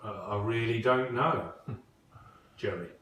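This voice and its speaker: A man's voice: on the low side, slow pace, a bit bright. A man playing a nervous, deferential employee in a stage play, hesitant and polite. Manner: hesitant, polite, self-correcting. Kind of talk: amateur stage-play acting, naturalistic dialogue. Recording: very echoey, distant, faintly noisy recording.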